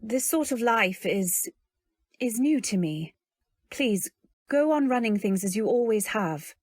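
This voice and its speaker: A woman playing a timid, earnest young wife who tells her tale in an old-time radio drama, gentle and polite. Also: on the high side, animated, unhurried, dry recording, studio quality. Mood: apologetic, earnest. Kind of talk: old-time radio drama, first-person narration and dialogue.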